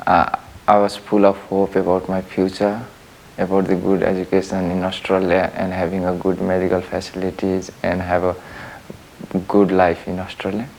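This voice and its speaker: A man from Nepal speaking in slow, careful phrases. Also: low-pitched, monotone, creaky, darkish tone, slight background noise, poor audio.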